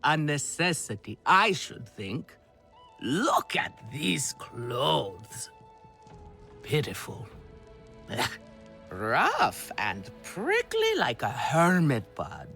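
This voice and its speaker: Flamboyant alien clan elder, bright forceful voice, swooping intonation, effusive, video game cutscene, music underneath. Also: male voice, fairly high pitch, huge pitch swings, very slow, vocal fry, exaggerated voice. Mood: playfully disdainful. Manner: theatrical, effusive. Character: flamboyant, doting male alien clan elder who loves fine silk. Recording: music behind the voice.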